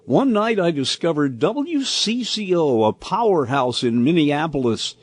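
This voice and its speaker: Older American male narrator, unhurried reminiscing storyteller, very expressive pitch, dry sound. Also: no room sound. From Indiana, United States. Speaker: host and storyteller. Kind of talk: scripted personal narration.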